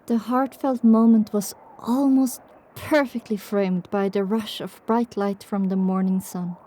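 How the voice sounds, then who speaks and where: A woman's voice: mid-range voice, fairly even intonation, measured pace, mellow. A woman narrator reading a scripted first-person story for an audio drama.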